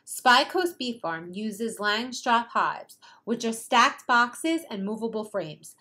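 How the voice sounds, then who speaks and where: A young woman hosting a travel show, narrating with bright enthusiasm. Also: a little high, lively intonation, slow. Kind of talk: upbeat presenter narration. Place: outdoors on a farm.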